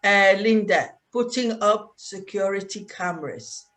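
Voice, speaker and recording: A woman from the UK teaching English, guiding a conversation class in slow, clear speech with many fillers. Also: medium pitch, a bit bright. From United Kingdom.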